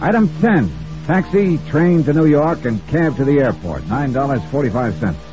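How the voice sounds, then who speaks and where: An American actor playing a hard-boiled insurance investigator who narrates in a wry, clipped, conversational way, in 1950s radio detective style. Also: male voice, mid-range voice, lively intonation, moderate pace, close to an everyday voice. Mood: wry, matter-of-fact. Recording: dull vintage radio recording with music.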